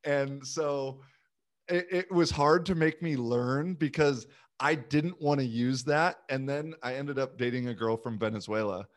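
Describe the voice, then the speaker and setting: A man's voice: medium pitch, unhurried. A man in casual conversation, chatty and informal, peppering his talk with filler words like "like" and "you know". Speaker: youth sports coach and language learner.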